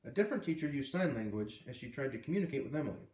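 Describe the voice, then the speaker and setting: A man narrating a children's novel aloud in an expressive storybook style, as a read-aloud reader. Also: medium pitch, rapid-fire. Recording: echoey room, distant microphone.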